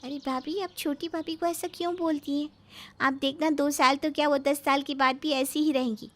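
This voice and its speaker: High, bright, girlish sister-in-law voiced by a woman. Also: fairly even intonation, normal speed. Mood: protesting. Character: admiring, outspoken young sister-in-law.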